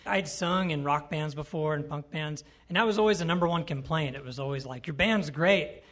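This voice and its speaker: A man from Ohio with a nasal voice, a country musician telling stories in a relaxed, rambling way during an interview. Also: a little high, brisk. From Ohio, USA. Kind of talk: casual interview talk.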